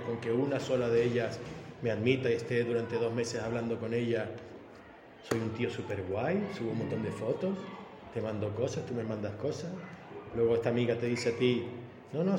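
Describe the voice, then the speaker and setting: A man in his fifties speaks emphatically, firing leading questions at a young guest as he lays out his point. His voice carries the long, echoey reverb of a big hall, with a faint hiss of room noise underneath. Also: mid-range voice. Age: 50s. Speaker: police officer giving school talks.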